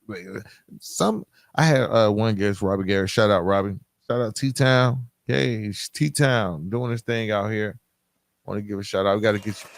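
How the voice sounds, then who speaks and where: A man's voice: medium pitch, dry recording, clean studio sound. A middle-aged man hosting a podcast, talking straight to listeners in a reflective, emphatic monologue, often checking in as he goes. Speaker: podcast host.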